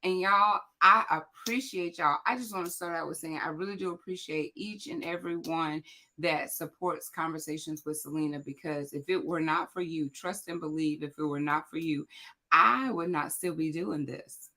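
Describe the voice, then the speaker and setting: Lady, low raspy voice, hoarse and kinda cracking, loud chatty morning livestream talk. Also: normal speed. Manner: chatty, loud, talks straight to the audience. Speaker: host of a morning motivational livestream. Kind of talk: casual motivational chat to viewers.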